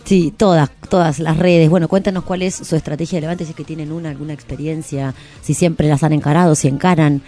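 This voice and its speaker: A woman's voice: deep voice, fairly even intonation, a little fast, hardly any pauses, warm tone, soft background music. A woman with an Argentine accent chatting casually as a radio host, informal and rambling. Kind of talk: casual chat.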